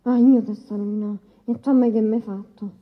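An actress playing a young woman testifying in a courtroom drama, shaken but defiant, earnest and halting. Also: a little high, steady tone, natural voice. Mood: indignant. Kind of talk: courtroom docudrama acting. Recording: dull sound with long hall reverb.

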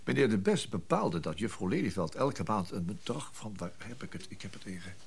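An actor playing a discreet, formal financial go-between, hesitant and polite, in a naturalistic radio drama. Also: male voice, lowish voice, very animated, a little fast, gravelly, a little hiss or hum. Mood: careful, explaining.

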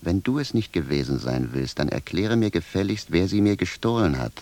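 An actor playing a simple, bewildered and stubborn village cobbler in a radio drama, speaking bluntly and with a sense of grievance. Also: male voice, sits low, very animated, fairly quick, a little mellow. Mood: indignant, stubborn. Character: plain-spoken, bewildered, stubborn village cobbler, grumbling indignantly. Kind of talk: theatrical radio drama dialogue.

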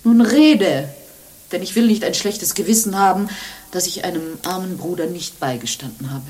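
An actress playing a pious, world-weary nun in a radio play, solemn and dramatic with an indignant, scornful edge. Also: female voice, lowish voice, normal speed, darkish tone, close to an everyday voice. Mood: stern, scornful. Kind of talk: German radio play acting.

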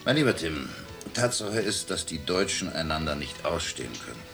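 A cynical British journalist. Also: male voice, a bass voice, sing-song, moderate pace, vocal fry, exaggerated voice. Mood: sardonic. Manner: sardonic, worldly, lecturing. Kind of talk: 1980s radio play acting. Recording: music underneath.

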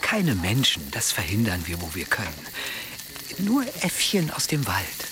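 An actor playing a curt, indifferent jungle missionary in a radio play. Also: male voice, on the low side, huge pitch swings, a little slow, noisy background. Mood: assuring. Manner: curt, dismissive. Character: gruff, indifferent old-hand jungle missionary. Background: sizzling fire.

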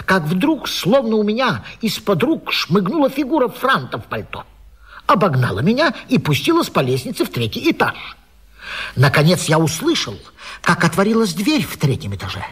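An actor in a radio vaudeville playing a flustered, jealous 19th-century gentleman, stammering and agitated in a theatrical way. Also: high-pitched, slightly rough, exaggerated voice.

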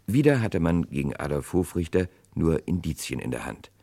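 Grave male chronicler, extremely low voice, swooping intonation, dramatic and deliberate, 1960s radio crime drama. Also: sing-song, gravelly, dark tone, husky, heavily acted. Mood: grave, suspenseful. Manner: dramatic, deliberate delivery with rhetorical questions. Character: grave male chronicler of a historic murder case. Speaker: narrator of a true crime radio play.

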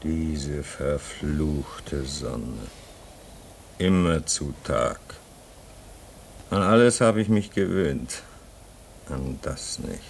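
A man's voice: extremely low pitch, lively intonation, very slow, creaky, long pauses, a little hiss or hum, full character voice. An actor in a German radio play as a homesick, restless man at a polar station, brooding and theatrical, swinging between muttering and outcry. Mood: brooding, weary.

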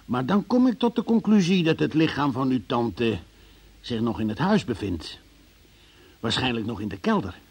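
An actor in a radio drama playing a stern, sardonic, relentless police interrogator who questions formally and presses hard. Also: male voice, mid-range voice, big pitch swings, normal speed, husky. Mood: deliberate, accusing.

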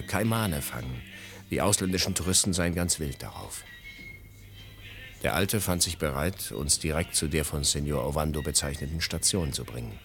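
A very deep male voice narrates a radio adventure over music. He is composed and measured, telling his story in a literary manner. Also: heavily acted. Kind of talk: radio play scripted narration.

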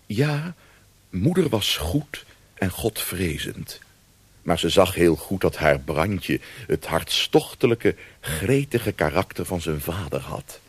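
An actor playing a wry storyteller, narrating a radio play expressively with savoured pauses and asides. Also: male voice, low-pitched, big pitch swings, breathy edge, no room sound, full character voice. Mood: wry, fond. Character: wry male storyteller of old Amsterdam.

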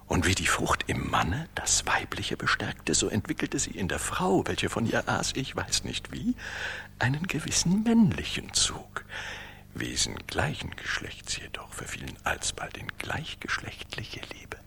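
An actor playing an amorous ancient tree spirit in a radio play, telling tales in a florid, theatrical way with a breathy, hissing whisper. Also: male voice, huge pitch swings, unhurried, pushed and bright, exaggerated voice. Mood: wondering, relishing. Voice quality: breathy, hissing whisper over gravelly low voice. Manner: theatrical, florid, savoring each phrase. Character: amorous talking tree spirit, male, worldly ancient storyteller. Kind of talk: dramatic radio play storytelling.

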